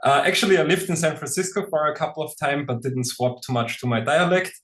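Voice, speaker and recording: A man's voice: fairly high pitch, fast talker, fairly bright tone. A man in his forties from Austria, with an Austrian German accent, presenting at a webinar with frequent hesitations. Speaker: co-founder and presenter.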